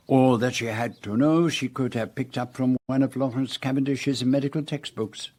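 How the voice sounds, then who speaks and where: A man playing a shrewd, theatrical Belgian detective in a radio drama, explaining deliberately and precisely in a Belgian French accent. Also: mid-range voice, a little mellow, close to an everyday voice.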